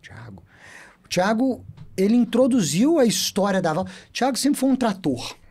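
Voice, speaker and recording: A man in his thirties with a Minas Gerais accent, a podcast guest telling his story in an animated, talkative way. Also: high voice, normal speed. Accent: Minas Gerais Portuguese. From Brazil. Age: 30s. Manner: chatty, animated storyteller who loves to talk. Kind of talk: casual storytelling interview.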